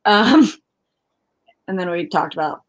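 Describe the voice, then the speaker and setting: A woman's voice: on the low side, animated, unhurried. A woman co-hosting a book livestream, chatting casually in a rambling, informal way. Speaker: co-host of a book discussion livestream.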